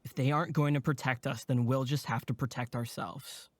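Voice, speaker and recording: Performer as a steady young male counselor, dry recording. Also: medium pitch, brisk, no echo, lightly acted. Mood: reassuring, resolute. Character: protective, practical young male camp counselor. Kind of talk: audio drama acting.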